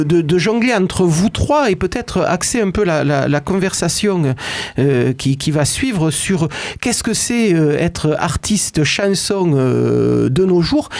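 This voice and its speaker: A man's voice: high-pitched, expressive, a little slow, a little mellow, no echo. A man hosting a radio talk show from a studio, introducing guests with many hesitations and fillers. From France. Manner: many "euh" fillers and repeated words. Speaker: radio host. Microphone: studio microphone.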